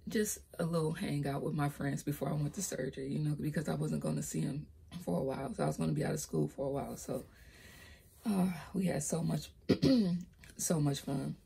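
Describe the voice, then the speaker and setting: A young woman with a low, raspy voice tells her own story in a casual vlog. She talks hesitantly, trips over her words and drops into soft whispered asides, and her voice cracks when she raises it. She records on a laptop mic. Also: fairly quick. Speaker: vlogger telling her life story. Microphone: laptop built-in mic.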